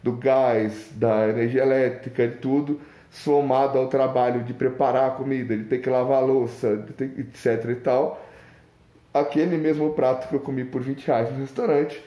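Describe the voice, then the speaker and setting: A man's voice: mid-range voice, fairly even intonation, normal speed, mellow, reverberant. A young man from Minas Gerais with a mineiro accent, thinking aloud in a casual, rambling vlog monologue full of restarts and fillers. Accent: Mineiro Brazilian Portuguese. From Minas Gerais, Brazil. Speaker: vlogger.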